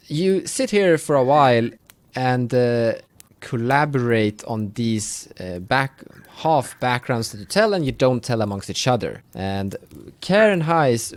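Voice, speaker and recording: Male game master, mid-pitched voice, conversational narration and questions for a tabletop group. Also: no echo. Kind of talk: running a tabletop roleplaying session.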